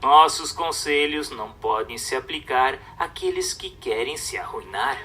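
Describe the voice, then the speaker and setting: A man with a Brazilian accent reading a religious treatise aloud as a narrator, in a formal, measured, didactic way. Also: medium pitch, expressive, background noise.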